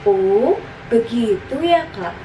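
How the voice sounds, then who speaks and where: A woman's voice: fairly high pitch, animated, slow pace. A woman voicing a curious, innocent little boy who asks polite, eager questions in a children's story. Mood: meek, agreeable. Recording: roomy, distant, noisy recording.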